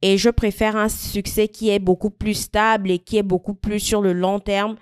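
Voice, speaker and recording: A woman in her mid-twenties from Equatorial Guinea, talking thoughtfully to camera in a personal vlog, with frequent pauses and restarts. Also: mid-range voice, flat delivery, smooth, no echo, clean studio sound. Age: 20s. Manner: thoughtful, searching, many fillers and restarts. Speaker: vlogger. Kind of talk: reflective personal talk to camera.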